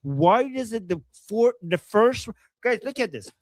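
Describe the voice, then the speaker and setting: A man's voice: high voice, big pitch swings, a little slow, no echo. A man co-hosting a live stream, ranting with mocking insults as he comments on a video. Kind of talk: casual livestream commentary.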